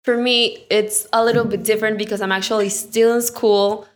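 A woman's voice: mid-range voice, flat delivery, normal speed. Young Colombian woman, eager podcast co-host. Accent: Colombian Spanish. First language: Spanish. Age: young adult. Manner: eager, often says "like". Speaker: podcast co-host, architecture intern. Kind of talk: casual podcast chat.

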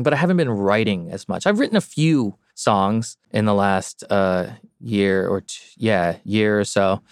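A man's voice: on the low side, clean tone, dry recording, clean studio sound. A man who teaches and plays music, talking casually as a podcast guest in a reflective, encouraging way. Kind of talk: casual interview talk.

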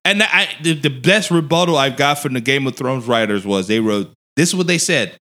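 A man from Nigeria in casual podcast chat, animated and profane, laughing often. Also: brisk. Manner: animated, swearing, laughing often, jumping pitch.

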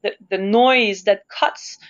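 A woman's voice: mid-range voice, slow pace, clear, resonant tone. A woman from Canada, an opera singer interviewed as a guest, talks thoughtfully and searches for her words, with easy laughs. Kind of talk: reflective conversational interview. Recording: dull lo-fi sound.

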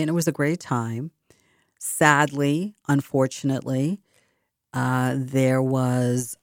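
A woman's voice: a bass voice, no room sound, clean studio sound. A woman with a Boston accent hosting a community radio talk show, speaking conversationally with fillers and restarts. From Boston area. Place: radio studio.

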